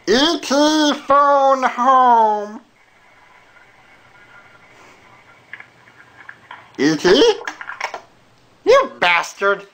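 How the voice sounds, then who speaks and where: A woman voicing a small, insistent, plaintive alien creature in a comic phone prank, with a drawn-out questioning delivery. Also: sits high, very animated, heavily acted. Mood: bitter. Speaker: prank caller. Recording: noisy lo-fi telephone prank recording.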